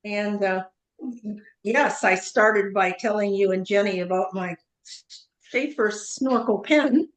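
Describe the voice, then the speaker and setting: An older woman answers interview questions over a video call. She speaks in a measured way and pauses on small fillers. Her voice sits at a middle pitch. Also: poor audio. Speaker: guest. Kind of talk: casual interview.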